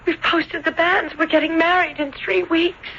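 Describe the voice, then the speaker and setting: An actress playing a tender, torn young small-town sweetheart, with hesitant, emotional delivery in radio drama style. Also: female voice, very high-pitched, fairly even intonation, normal speed, a bit bright. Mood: torn, pleading. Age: young adult. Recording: dull old radio broadcast.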